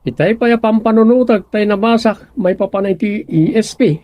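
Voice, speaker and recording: A male actor playing an excitable, dramatic village man in an Ilocano radio comedy drama, with an Ilocano Filipino accent and a creaky voice. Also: sits high, moderate pace, highs cut off, natural voice. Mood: earnest, explaining.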